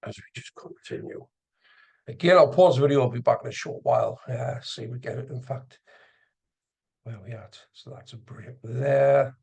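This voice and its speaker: A man giving casual live commentary as an instructor, gravelly and husky, sometimes muttering to himself as he explains. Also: medium pitch, moderate pace, darkish tone. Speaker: trading instructor and video host. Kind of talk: casual live screen-share commentary on chart trading.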